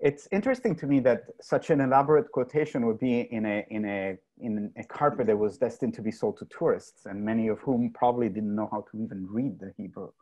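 A man's voice: on the low side, quick pace, few pauses, dark tone, lo-fi sound. Older italian-accented guy, curator talk on a video call, laptop mic, chatty and asking things. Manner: conversational, prompting questions. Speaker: museum curator.

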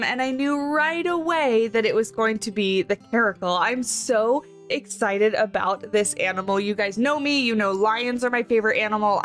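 A young woman speaks in a fairly high voice, slightly off from a lingering cold. She chats with bubbly excitement and reads animal facts in a voice-over. Faint guitar music plays underneath. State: recovering from a cold, voice a bit off. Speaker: game channel host. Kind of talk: casual screenshot commentary.

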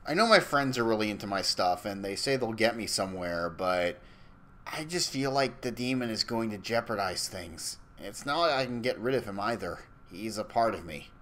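A man playing an anxious young man in an animated dark comedy, confiding in a wry, hesitant, exasperated manner. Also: on the low side, normal speed. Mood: weary confiding. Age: young adult.

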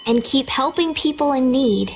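Gentle high-voiced young princess. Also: female voice, expressive, normal speed, slight background noise. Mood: hopeful. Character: gentle, earnest young princess in a fantasy game. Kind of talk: animated game voice acting.

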